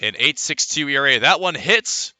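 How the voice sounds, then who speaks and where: A man's voice: on the high side, expressive, moderate pace, bright, forceful tone, dry recording. An American man calling a baseball game as a live radio play-by-play broadcaster. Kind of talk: live radio sports commentary.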